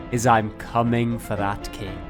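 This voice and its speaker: A man narrating his gameplay as a video host, mixing mock-epic roleplay with casual, profane jokes. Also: mid-range voice. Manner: profane, joking. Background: music.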